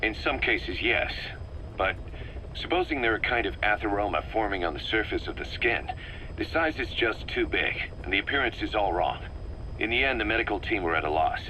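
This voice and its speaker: Low, sharp-voiced military second-in-command. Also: male voice, expressive, a little hiss or hum, rough recording. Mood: grave, concerned. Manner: measured, methodical explaining. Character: analytical, wary male military second-in-command briefing his leader. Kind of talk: scripted video game cutscene dialogue.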